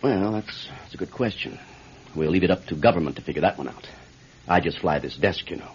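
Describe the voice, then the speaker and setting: An actor playing a cynical, matter-of-fact desk official in a radio drama, brusque and offhand, with a husky voice. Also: male voice, low voice, animated, a little fast, exaggerated voice. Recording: dull old radio recording.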